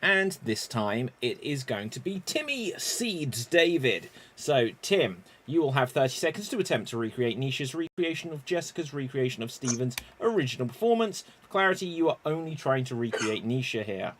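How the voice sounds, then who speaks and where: A man hosting an improv competition, explaining the rules clearly and deliberately. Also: medium pitch, sing-song, a bit bright. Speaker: improv show host. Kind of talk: game show hosting.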